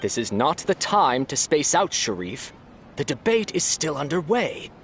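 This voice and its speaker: A man's voice: fairly high pitch, very animated, measured pace, a bit bright, slight background noise, heavily acted. An actor voicing a stern male debate moderator in a game, officious and formal. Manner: officious, formal, calls for order. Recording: game cutscene with faint score.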